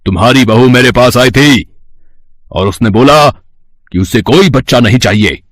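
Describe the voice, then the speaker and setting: A man's voice: medium pitch, normal speed, fairly bright tone, dry recording, lightly acted. Casting: male actor for a sinister, pompous tantric guru in a moral tale, accusing delivery, dry sound. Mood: sly, insinuating. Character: sly, sinister fake tantric holy man, easily offended. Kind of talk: Hindi moral story dramatization.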